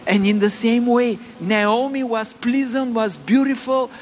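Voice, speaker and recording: A man with a Bulgarian accent preaching a sermon, earnest and halting, with many fillers and restarts. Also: very high-pitched. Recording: long reverb, faint ambient noise.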